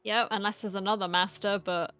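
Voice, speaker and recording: A woman's voice: mid-range voice, steady tone, fairly quick, fairly bright tone, clean tone. A woman giving casual, wry reaction commentary over gameplay in an informal way. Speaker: gaming content creator.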